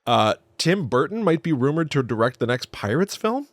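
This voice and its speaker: A man's voice: on the high side, very animated, moderate pace, dry recording. Man chatting casually on a film podcast. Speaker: podcast co-host. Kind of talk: casual film discussion chat.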